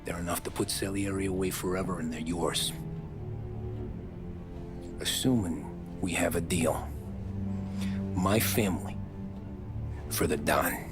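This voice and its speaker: A tired guarded gangster, low voice, understated and terse, music behind. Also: male voice, fairly even intonation, unhurried, long pauses. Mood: guarded, bargaining. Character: weary, guarded male mobster turned informant. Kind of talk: video game cutscene acting.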